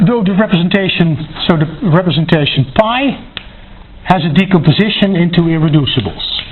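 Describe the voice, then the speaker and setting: A man giving a mathematics lecture, working step by step in a halting, self-correcting way. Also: high voice, lively intonation. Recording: thin phone-like band, clearly noisy, clicks.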